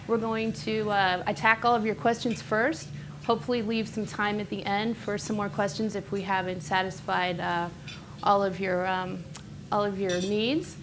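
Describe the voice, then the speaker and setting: A woman of middle pitch moderates a panel, welcoming the audience with a friendly tone and the odd uh. Clear background noise sits under her voice in the room. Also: flat delivery, normal speed. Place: event room. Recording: noisy room recording.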